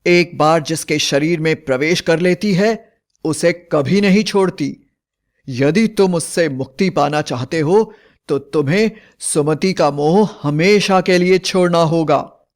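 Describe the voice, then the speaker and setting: A man's voice: a little high, slow. A man playing a stern tantric priest who gives grave, commanding warnings in a cartoon horror story.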